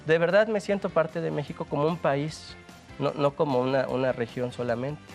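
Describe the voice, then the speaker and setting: A man's voice: a little high, fairly even intonation, moderate pace, creaky, soft background music. Earnest Mexican man, thoughtful guest. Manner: earnest, reflective, with many fillers and restarts. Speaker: interview guest, sculptor. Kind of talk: conversational TV interview.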